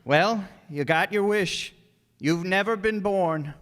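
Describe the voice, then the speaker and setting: A man playing a gentle, guileless elderly guardian angel in a radio drama, courtly and fussy in an old-fashioned way. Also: high voice, slow. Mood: excited, wondrous. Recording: echoey stage hall, long reverb.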